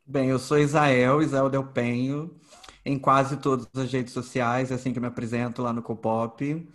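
A man's voice: medium pitch, little pitch movement, moderate pace. A young man guesting on a podcast, cracking self-mocking jokes in casual chat.

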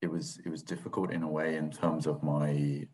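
A man in his thirties with a low, deep voice, talking casually as a podcast guest. He speaks in a reflective, halting way and stops often to find his words. His was Thai. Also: level tone, mellow. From England, grew up in Swindon. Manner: reflective and hesitant, with many fillers and restarts. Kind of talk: casual interview.

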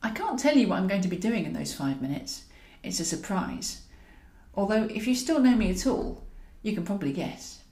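A woman's voice: medium pitch, big pitch swings, normal speed, gravelly, warm tone. Lady narrator doing a flirty huffy woman from a romance audiobook, teasing and pushy, bit echoey. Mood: teasing, suggestive. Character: flirtatious, indignant woman pursuing a reluctant man.

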